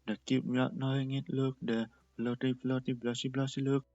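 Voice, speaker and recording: A man's voice: medium pitch, level tone, moderate pace, mellow, no echo, lo-fi sound. A man reading a story aloud as the narrator. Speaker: fan fiction reader and narrator.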